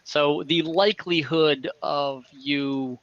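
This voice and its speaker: A man acts as game master for an online fantasy game, explaining scenes conversationally. His voice sits a little high and has a forceful, bright edge. The sound is slightly lo-fi, as on an online call. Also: steady tone, slow.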